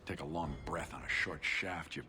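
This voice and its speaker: A man's voice: rumbling low, steady tone, normal speed, sharp tone, soft background music, exaggerated voice. A man playing a sour, put-upon shady smuggler in a video game, exasperated and muttering. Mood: sour, resigned.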